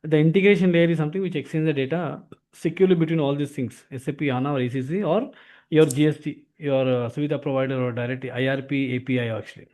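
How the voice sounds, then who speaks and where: A man with an Indian accent explaining as a software trainer in an online demo class, with repetitive phrasing. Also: fairly high pitch, fairly even intonation, fast talker. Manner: repetitive phrasing, often adds "actually".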